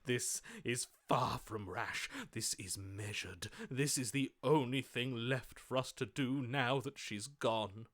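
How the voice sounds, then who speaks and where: A man's voice: medium pitch, takes it slowly, few pauses, fairly bright tone. A man voicing a lovestruck, melodramatic romantic hero in a video game, speaking in a theatrical, florid, overwrought manner. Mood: grieving, grandiose. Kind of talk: video game character voice acting.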